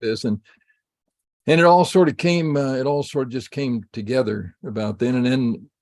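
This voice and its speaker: A man's voice: mid-range voice, moderate pace, a little mellow. A man in his seventies, a psychologist guest, answering interview questions in a rambling way with many fillers and restarts, heard over a video call. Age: 70s.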